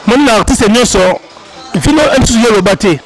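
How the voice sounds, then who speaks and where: High-voiced host over music. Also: male voice, a faint crowd, rough recording. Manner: halting, many restarts. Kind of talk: interview in Lingala and French. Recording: music clearly behind.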